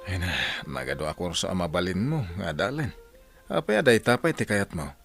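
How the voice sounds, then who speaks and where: A man's voice: deep voice, expressive, a little fast, gravelly. An actor playing a hardworking, devoted village father in an Ilocano-accented radio drama. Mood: concerned, supportive. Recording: music behind the voice.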